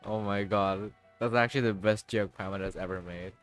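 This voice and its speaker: Young male streamer, wry reactions, lowish voice, faint game music behind. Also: steady tone, fairly quick. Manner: wry, reading aloud and joking. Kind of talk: casual livestream reaction.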